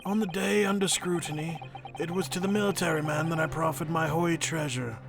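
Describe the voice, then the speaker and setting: A man's voice: fairly high pitch, fairly even intonation, fairly quick, vocal fry, over music. A man voicing an eccentric, fussy old antique dealer in a game fan dub, with archaic, mannered phrasing. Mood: mysterious, wistful. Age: older. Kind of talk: video game fan voice acting.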